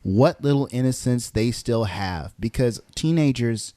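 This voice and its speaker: A man's voice: medium pitch, lively intonation, measured pace, dark tone, dry recording. A man co-hosting a podcast, telling stories casually and often repeating words as he goes. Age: adult. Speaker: podcast co-host. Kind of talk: casual conversational storytelling.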